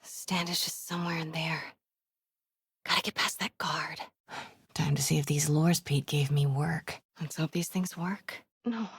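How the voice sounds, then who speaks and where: A woman playing a determined, brave young reporter in a video game, breathy and often hushed. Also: low voice, steady tone, unhurried, no echo, studio quality, exaggerated voice. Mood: hushed, tense. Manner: hushed, often whispering to herself.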